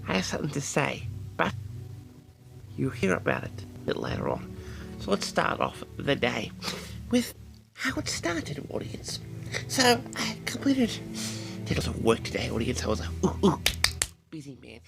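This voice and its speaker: A man's voice: medium pitch, huge pitch swings, moderate pace, creaky, long pauses, over music, full character voice. A man playing a pompous, easily affronted eccentric who records a comic, theatrical audio diary for his audience. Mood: indignant, pompous. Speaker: audio log host. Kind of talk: comic rambling monologue to an audience.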